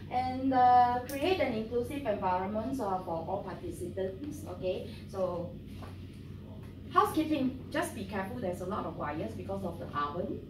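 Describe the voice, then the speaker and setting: Brief: woman trainer, friendly and halting, heard from across a noisy room. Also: medium pitch. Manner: friendly, halting with many fillers. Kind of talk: classroom training talk. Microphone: far camera. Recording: roomy, distant, noisy.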